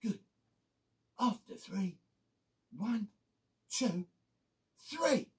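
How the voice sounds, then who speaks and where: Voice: medium pitch, animated, drawn-out words, recorded from a distance, voice pushed up. A storyteller voicing Red, a little car leading a plan in a conspiratorial tone. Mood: plotting. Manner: conspiratorial, hushed. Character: scheming little toy car, leader.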